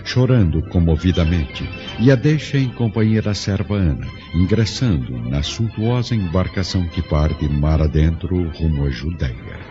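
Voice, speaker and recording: A man's voice: deep voice, animated, normal speed, hardly any pauses. A man narrating a scripted story in a solemn, literary manner. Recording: slightly dull audio with music underneath.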